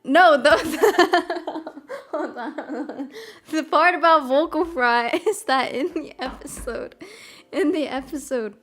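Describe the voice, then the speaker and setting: A woman's voice: sits high, slow pace. A young woman with a mild Midwestern American accent, chatting casually in a bubbly, rambling way. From American South. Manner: bubbly, rambling, lots of "freaking" and "oh my God". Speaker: streamer. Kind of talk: casual chat. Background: soft piano music.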